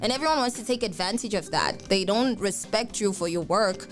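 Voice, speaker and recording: A woman's voice: mid-range voice, lively intonation, fairly quick, soft background music. A young South African woman, an upcoming musician, speaking candidly as a guest in a radio interview, earnest and outspoken with lots of "like". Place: radio studio.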